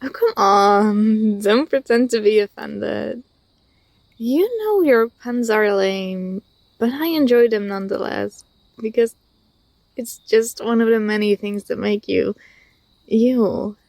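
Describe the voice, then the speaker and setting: A young woman playing an affectionate, teasing university student in an intimate ASMR roleplay, speaking softly with a tender, hesitant delivery. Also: mid-range voice, takes it slowly, vocal fry, no room sound. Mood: fond, teasing.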